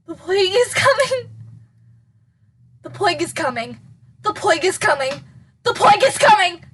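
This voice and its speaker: A woman voicing a gentle, earnest female dragon in an audio drama, with emphatic delivery. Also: extremely high pitch, sing-song, moderate pace, a little hiss or hum, voice pushed up, heavily acted. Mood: urgent, ominous.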